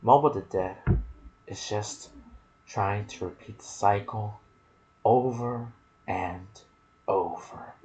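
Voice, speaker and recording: Slow, low-voiced man doing ASMR game commentary. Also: a little mellow, low-quality recording. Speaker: video game lore narrator. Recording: clear background noise.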